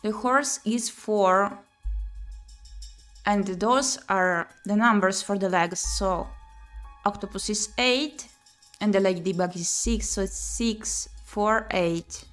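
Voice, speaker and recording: A woman streaming a visual novel playthrough, reading the text aloud and thinking out loud as she plays. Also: mid-range voice, slow. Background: game music.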